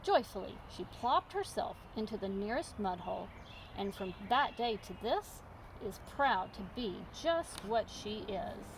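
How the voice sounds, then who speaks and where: Animated woman reading a children's story. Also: medium pitch, a little slow, noisy background. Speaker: storybook reader. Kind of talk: expressive children's story narration.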